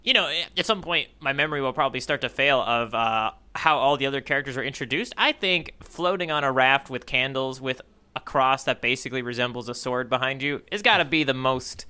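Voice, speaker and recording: A man's voice: mid-range voice, a little fast, bright, forceful tone, clean tone. A man co-hosting a podcast, chatting casually and thinking aloud with a wry, joking streak. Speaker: podcast co-host. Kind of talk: casual chat discussing a manga.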